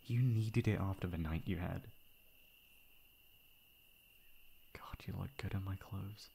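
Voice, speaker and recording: A man playing a caring, protective young best friend in an intimate ASMR roleplay, with a soft, breathy voice. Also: low-pitched, moderate pace, a little hiss or hum. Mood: affectionate, playful. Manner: intimate, comforting, casual. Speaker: voice actor.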